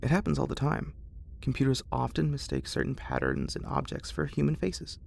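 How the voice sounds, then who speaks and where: Man acting an uneasy, placating son, pitched up. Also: wildly swooping pitch, fairly quick, gravelly, darkish tone, exaggerated voice. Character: uneasy, dismissive tipsy adult son reassuring his mother. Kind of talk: ASMR horror storybook character voice.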